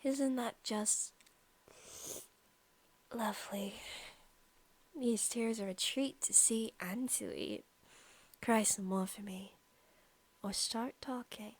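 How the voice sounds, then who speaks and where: A young woman playing a possessive female vampire student in an intimate ASMR roleplay, softly spoken, partly whispered, coy and taunting. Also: medium pitch. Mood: cruel, taunting. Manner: soft, slow, coy talk sliding into taunting. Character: female student vampire, possessive, sweet-talking, menacing predator.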